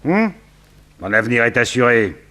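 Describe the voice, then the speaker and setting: A menacing male gang boss from a 1960s French radio crime drama. His voice is sharp and bright at a middling pitch. He orders and threatens with theatrical, excitable exasperation. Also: moderate pace. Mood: threatening, bargaining.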